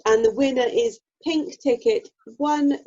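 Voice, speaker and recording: A woman's voice: high-pitched, unhurried, dark tone. A woman playing a wry, officious drama society announcer with a British accent and dry delivery, in a radio play. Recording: dull online call audio.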